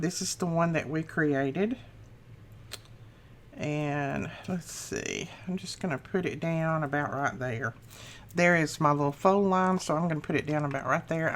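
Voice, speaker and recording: A middle-aged woman hosting a craft channel, chatting casually through a tutorial with a slightly rough morning voice, laughing at her own mistakes. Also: low voice.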